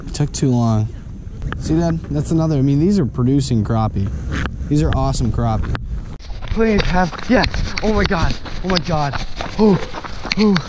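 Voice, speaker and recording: A young man vlogging while ice fishing outdoors on a frozen lake, talking casually and breaking into loud excited exclamations. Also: on the high side, moderate pace, long pauses. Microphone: head- or chest-mounted action camera. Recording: wind and outdoor noise on the camera mic.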